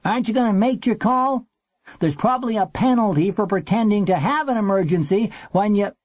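A nasty, impatient storybook man, acted out by a male narrator, calling out in a raised voice. His delivery is sarcastic and needling, with big pitch swings. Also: very animated, a little slow, slightly rough, dry recording, exaggerated voice.

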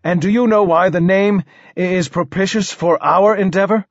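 A man's voice: high voice, normal speed, no room sound, lo-fi sound, pitched above the performer's own voice. A man voicing a sad little king in an audiobook, cultured and courtly, with a heavy stammer on his consonants. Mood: gracious. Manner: formal, courtly, hesitant.

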